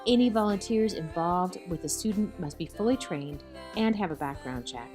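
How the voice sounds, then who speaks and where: A woman reading scripted narration in a clear, informative way. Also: lowish voice, animated, normal speed, a little mellow, faint music behind.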